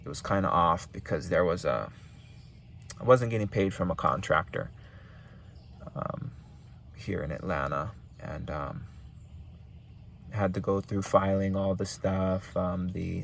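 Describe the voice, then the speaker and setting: Gravelly low-voiced man with Hawaiian accent. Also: slight background noise. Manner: rambling, repeats himself, many ums. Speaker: small business owner giving advice. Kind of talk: casual advice vlog.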